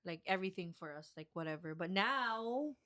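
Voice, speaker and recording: A woman's voice: on the low side, animated, moderate pace, bright, forceful tone, no echo, studio quality. A woman in her late twenties with a Filipino accent, chatting playfully and expressively as a podcast co-host, switching between languages. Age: late 20s. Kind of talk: casual bantering podcast chat in mixed languages.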